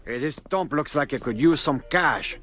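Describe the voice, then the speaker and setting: A man's voice: a little high, animated, moderate pace, fairly bright tone. A man playing a brash, menacing drug kingpin with a Cuban accent and a cocky, profane manner in a video game. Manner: cocky, profane, tough-talking. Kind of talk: video game cutscene acting. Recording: game music behind the voice.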